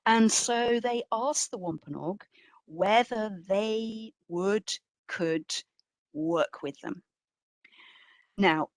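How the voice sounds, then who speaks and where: Low-voiced woman speaker, measured and slow. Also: dry recording. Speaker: exhibition curator, guest speaker. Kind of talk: answering an audience question in an online talk.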